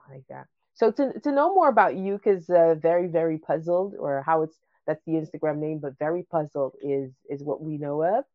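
A woman's voice: deep voice, expressive, brisk, no echo, lo-fi sound. A woman hosting a casual interview, encouraging and rambling, with many fillers and restarts. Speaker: interview host.

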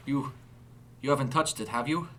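A man voicing a fussy, excitable German investigator of strange creatures, speaking haltingly with a German accent in a story. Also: mid-range voice, a little hiss or hum, voice pushed up, close to an everyday voice. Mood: anxious. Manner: halting, searching for words.

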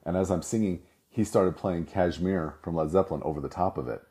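A middle-aged man with a low voice, talking casually and rambling with restarts. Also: a little fast, warm tone. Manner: rambling, self-correcting storyteller. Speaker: host. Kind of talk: casual monologue.

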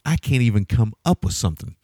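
A man's voice: mid-range voice, big pitch swings, fast, warm tone, no echo, clean studio sound. A man co-hosting a podcast, reviewing a film in casual, rambling, amused talk. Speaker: podcast co-host. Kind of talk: casual movie review chat.

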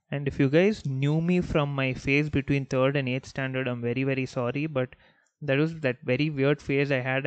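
A young man from Bangalore whose first language is Malayalam, hosting a podcast and telling childhood stories in a relaxed, rambling way full of "like" and "you know". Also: mid-range voice, clear, resonant tone, dry recording, dull audio. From Bangalore, India. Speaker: podcast host. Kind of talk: casual storytelling monologue.

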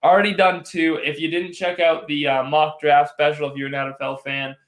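Young man, hoarse, live-stream host, slightly high pitch, roomy echo, rambling. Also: level tone, brisk, fairly bright tone. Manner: rambling, many restarts, reads chat comments aloud. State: voice going hoarse. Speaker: live postgame show host. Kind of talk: casual live-stream sports talk.

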